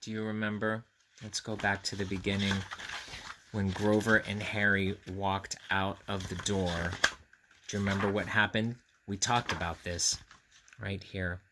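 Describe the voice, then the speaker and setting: A man reading a storybook aloud to children, expressive and confiding, pausing to put questions to the listener. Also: lowish voice, background noise. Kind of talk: animated read-aloud with friendly asides to children.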